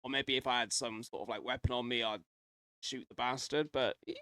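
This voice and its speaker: A man's voice: mid-range voice, fairly even intonation, a little fast, sharp tone, smooth, no room sound. Casual man reacting, dry close sound. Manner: speculative, rambling, mildly sweary. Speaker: reaction video host.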